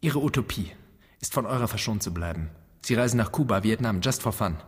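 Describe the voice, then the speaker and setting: An actor playing a bitter, reproachful grown son in a literary radio play, declaiming in an accusing, rhetorical way. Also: male voice, fairly low pitch, expressive, fairly quick, husky. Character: bitter, reproachful grown son confronting his absent father. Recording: long hall reverb.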